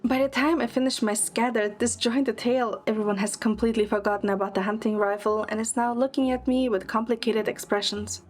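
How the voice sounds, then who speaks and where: A woman's voice: a little high, fairly even intonation, fairly quick, few pauses. A woman performing scripted first-person narration and lead dialogue in an audio drama.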